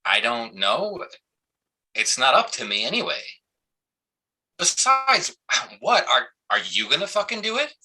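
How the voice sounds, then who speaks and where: A man's voice: on the high side, big pitch swings, measured pace, long pauses, sharp tone, slightly rough, full character voice. A man playing a defensive, foul-mouthed cop and army veteran in a live play reading, speaking bluntly and evasively. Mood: challenging.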